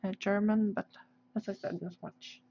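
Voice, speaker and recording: A woman's voice: mid-range voice, steady tone, normal speed, mellow, slight background noise. A woman with a Swedish accent chatting casually on a livestream as she draws, hesitant and searching for her English words. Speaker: livestream host, artist. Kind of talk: casual livestream chat while drawing. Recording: dull, lo-fi livestream audio.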